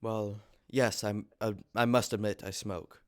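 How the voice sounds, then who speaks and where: A man reading the part of a polite, nervous young gentleman suitor in a stage comedy, formal and deferential. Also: lowish voice, flat delivery, measured pace, clean tone, close to an everyday voice.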